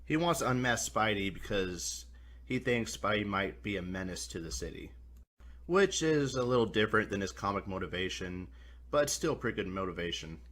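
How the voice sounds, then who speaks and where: A man's voice: on the low side, vocal fry, fairly bright tone. A man hosting a scripted video review, talking in a colloquial, mildly profane way. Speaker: reviewer, host.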